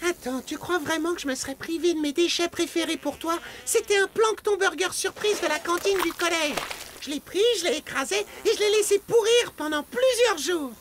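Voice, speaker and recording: A woman's voice: very high-pitched, fairly quick, a little hiss or hum. A woman playing a cheeky, gross prankster microbe boy in a cartoon, boastful and teasing, with a French accent. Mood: gleeful, teasing. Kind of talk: animated cartoon dialogue. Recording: loud music behind the voice.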